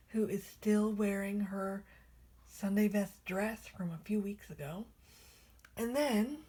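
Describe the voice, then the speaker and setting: Casting note: raspy, slow-talking woman vlogger, nasal from a cold, soft and half-whispered, handheld camera. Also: medium pitch. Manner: soft-spoken, often drops to a whisper. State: recovering from a cold, nasal and hoarse. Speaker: vlogger showing her doll collection. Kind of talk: casual rambling vlog. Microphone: handheld camera without tripod.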